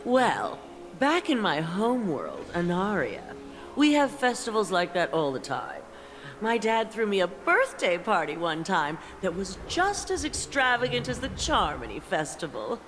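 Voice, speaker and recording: A nonchalant, boastful female tourist from a video game. Her middle-pitched voice moves up and down freely with a breezy, unbothered air. Clear music plays behind. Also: very animated, unhurried, a bit bright. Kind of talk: animated video game voice acting.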